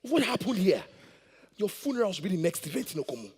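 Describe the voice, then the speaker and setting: A man's voice: sits high, sing-song, fast, a little mellow. A man with a Nigerian accent acting a domineering, hot-tempered royal plotter on stage, imperious and full of threats. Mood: furious. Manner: imperious, barking threats and proverbs.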